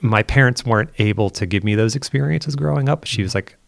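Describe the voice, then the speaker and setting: A man's voice: mid-range voice, a little fast, gravelly, no echo, clean studio sound. A man speaking as a guest, reflective and thinking aloud, often repeating words and ending points with "right?". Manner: reflective, restarts sentences, tags statements with "right?". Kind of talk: casual conversation.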